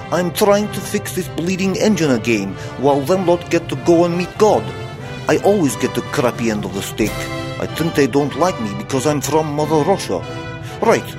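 A man playing a put-upon, self-pitying Russian ship's engineer, grumbling through a comedy radio play log. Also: on the high side.

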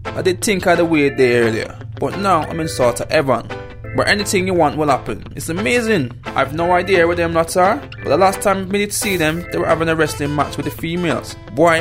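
Man playing a laid-back, high-voiced starship crewman. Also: natural voice. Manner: casual, easygoing, wide-eyed. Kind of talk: comedy radio play acting.

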